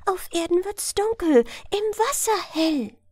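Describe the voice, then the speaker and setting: A woman's voice: extremely high pitch, animated, a little slow, a little mellow, no echo, studio quality, voice pushed up. A woman voicing playful little mermaid children chanting an urgent rhyme in an audiobook fairy tale. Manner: sing-song, urgent chanting.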